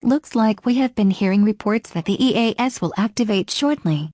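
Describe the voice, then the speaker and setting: A woman's synthetic text-to-speech voice reading scripted emergency news in a formal, measured manner. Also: mid-range voice, animated, dark tone, dry recording.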